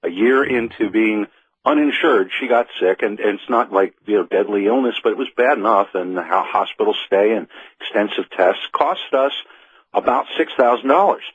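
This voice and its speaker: A man's voice: fairly low pitch, normal speed, breathy edge, no room sound. A man in his fifties speaking as a call-in radio guest, casual, wry and plain-spoken. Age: 50s. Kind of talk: casual conversational radio interview. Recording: narrow, tinny phone line.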